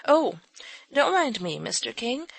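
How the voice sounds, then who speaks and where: A woman voicing a lively young girl who speaks eagerly and breathlessly, in a storybook reading. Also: fairly high pitch, a little slow, vocal fry, breathy edge, no echo, voice pushed up, heavily acted, low-quality recording.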